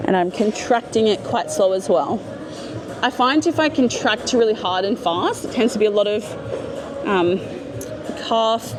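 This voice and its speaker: A woman fitness coach explaining and cueing a workout as she hosts a gym vlog. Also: mid-range voice. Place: indoor gym.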